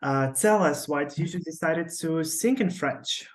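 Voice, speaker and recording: Lady interviewer with a deep-ish voice, quick to prompt the next question.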